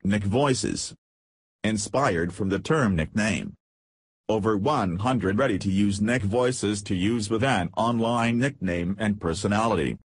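A man reading scripted promotional narration for a software demo, announcing headings and lists in short segments. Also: on the low side, slow, slightly rough, dry recording. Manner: reads feature lists in short headed segments.

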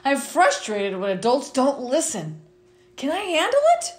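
A middle-aged woman reads a picture book aloud for young schoolchildren. Her voice sits a little high and she reads expressively. The sound is noticeably roomy, with echo around the voice. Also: big pitch swings, a little slow. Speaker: school vice principal reading to students.

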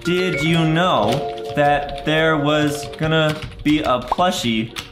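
Gaming host: man in his twenties, big theatrical kid-friendly commentary, unhurried pace, echoey room. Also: fairly high pitch, music in the background. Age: 20s. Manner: exaggerated, theatrical reactions with shouts and drawn-out words. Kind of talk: excitable gameplay commentary for kids. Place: indoor room with bare walls.

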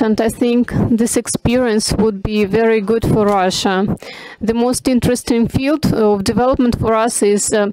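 A woman giving simultaneous interpretation of a panel discussion, halting, with many pauses and restarts. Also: on the high side, a little mellow, rough recording. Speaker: conference interpreter.

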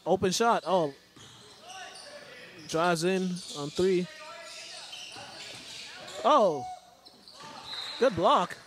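A man's voice: sits high, expressive, very slow, long pauses, background noise. Man casually calling a gym game. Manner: loose, chatty calls with repeated names and restarts. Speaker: play-by-play commentator. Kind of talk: casual sports commentary. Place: large indoor school gym. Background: faint gym ambience and ball bounces.

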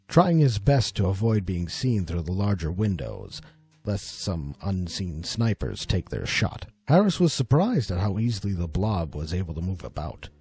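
A man narrates a suspenseful story in a theatrical style. His voice is fairly low and mellow, and it rises and falls dramatically. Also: breathy edge.

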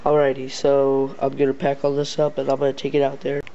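A man giving a casual, halting, step-by-step hands-on walkthrough as a vlogger, recorded on a handheld camera. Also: medium pitch, monotone. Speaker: vlogger showing a homemade CB radio setup. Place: backyard and indoors beside the equipment. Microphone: handheld camera near the speaker. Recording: dull lo-fi camera audio, loud noise.

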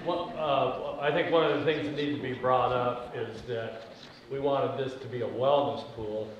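A man in the audience making a comment at a community meeting, halting and restarting his phrases. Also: medium pitch, fairly even intonation, a crowd in the background. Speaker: audience member speaking up. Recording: very echoey hall, speaker far from the mic, muffled.

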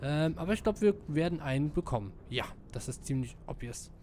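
A man giving casual live gameplay commentary, excitable and slangy, dropping in English gamer words. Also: a little high, brisk, slight background noise. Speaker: let's player.

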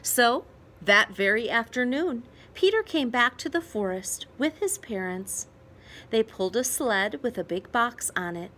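A woman reads a children's story aloud, slowly and with wide melodic swings. Her voice sits a little high, and she leaves dramatic pauses for emphasis. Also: lively intonation, pushed and bright. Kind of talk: children's picture book read-aloud.

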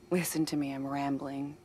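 A woman's voice: a bass voice, little pitch movement, slow, darkish tone, a little hiss or hum. A woman playing a worried, stubborn younger sister and young mother in a naturalistic video game scene, plain-spoken and conversational. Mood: amused, nostalgic. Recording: music under the voice.

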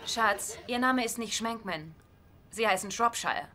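An actress playing a brisk, quick-witted, bossy married woman in a dubbed sitcom, matter-of-fact and directive. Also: on the high side, over a noisy crowd, very noisy, lightly acted. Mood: chatty.

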